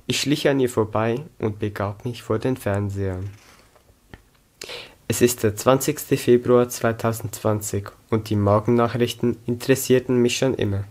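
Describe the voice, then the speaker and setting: A man's voice: medium pitch, darkish tone. Teenage boy reading a story aloud. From Zug, Switzerland. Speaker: student reading own story. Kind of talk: scripted story narration, read aloud. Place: small indoor room against a plain wall.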